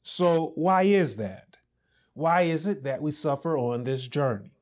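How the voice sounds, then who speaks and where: Pastor guy in his 30s giving a sermon, slow and high pitched, lapel mic in a small church room. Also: a little mellow. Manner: preaching with rising emphasis and slow deliberate pauses. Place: small indoor church office set with pulpit.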